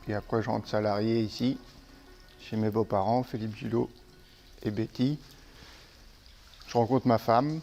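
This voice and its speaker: A middle-aged man from Normandy, a horse trainer, speaking in a relaxed, halting way with restarts in a casual interview. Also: fairly low pitch, creaky, faint music behind. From Manche, Normandy, France.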